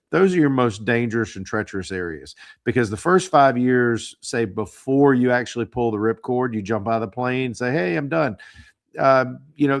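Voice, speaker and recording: A man hosting a financial podcast, hoarse from the flu, talking casually with frequent fillers and repeated words. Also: mid-range voice. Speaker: podcast host.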